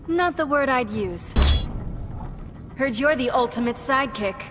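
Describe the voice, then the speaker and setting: A woman's voice: sits high, lively intonation, measured pace, background noise. A woman playing a plucky young superheroine in a video game, confident, flippant and defiant. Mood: defiant, sassy. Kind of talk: video game character acting.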